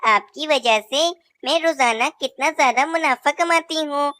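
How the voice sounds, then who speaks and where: A woman's voice: sits high, unhurried, pushed and bright. A woman voicing a cheerful young female bird who sells mangoes, polite and eager. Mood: grateful. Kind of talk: cartoon voice acting.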